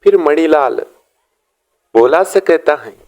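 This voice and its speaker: A man tells a children's moral story. His voice sits high for a man. He goes slowly and deliberately, one phrase at a time. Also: expressive, a little mellow.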